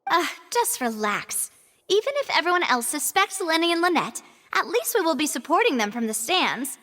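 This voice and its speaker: A supportive, self-assured young lady talks breezily in a video game. Her high voice is bright and animated, ringing with reverb. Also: moderate pace, heavily acted. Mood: reassuring.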